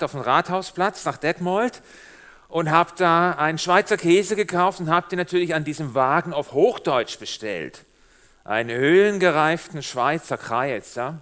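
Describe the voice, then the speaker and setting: A middle-aged man with a Swabian German accent shaded by years in Switzerland, giving a lively, humorous sermon as a guest preacher and speaking directly to the congregation. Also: on the high side. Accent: Swabian German with Swiss German influence.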